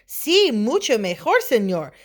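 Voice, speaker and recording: A woman voicing lively little male Chihuahuas in a storybook, speaking emphatically and peppering in Spanish words. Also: fairly high pitch, a little slow, voice pushed up. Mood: encouraging.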